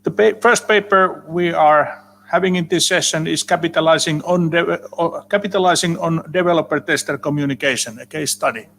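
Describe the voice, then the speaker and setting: A man's voice: fairly high pitch, fairly quick, a bit bright. A man from Finland with a Finnish accent, speaking as a session chair and introducing a speaker at an online conference. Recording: echoey hall sound with clear background noise.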